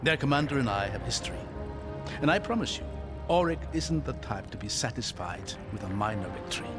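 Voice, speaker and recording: An actor playing a courteous, wry older scholar-traveler in a cinematic video game, talkative and good-humoured. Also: male voice, medium pitch, brisk, lightly acted. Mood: grave, explaining. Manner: courteous, wry, expressive storytelling delivery. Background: background music.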